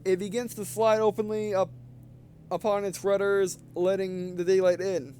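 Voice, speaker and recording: A man with a high voice reads a visual novel aloud as a let's player. He slips between narration and casual commentary, often stumbling over words. A faint hum sits behind his voice. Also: little pitch movement, moderate pace, dark tone. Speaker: video game let's player.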